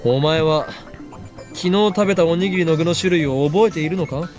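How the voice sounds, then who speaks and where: A man's voice: fairly high pitch, very fast, darkish tone, soft background music, natural voice. A man voicing a dramatic, bossy young mad scientist with a theatrical, demanding delivery in an anime visual novel. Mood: exasperated.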